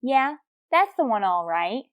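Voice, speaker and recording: Woman playing a high, scatterbrained New chatterbox. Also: sing-song, unhurried, no echo, phone-quality sound. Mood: indignant. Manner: earnest, rambling, indignant. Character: scatterbrained, chatty young woman tavern regular, pretentious. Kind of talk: old-time radio comedy acting.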